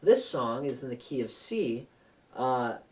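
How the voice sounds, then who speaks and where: A young man giving a relaxed guitar lesson, rambling with plenty of fillers and restarts as he talks through chords and keys. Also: medium pitch, measured pace, recorded from a distance. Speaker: guitar teacher. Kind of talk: casual guitar lesson with singing. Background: acoustic guitar.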